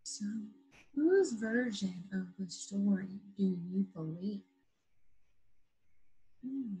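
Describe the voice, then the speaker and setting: A woman teacher leading an interactive lesson for young children after a read-aloud, in simple, patient phrases with questions and drawn-out key words. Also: mid-range voice, animated, warm tone, breathy edge, rough recording. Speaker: primary school teacher.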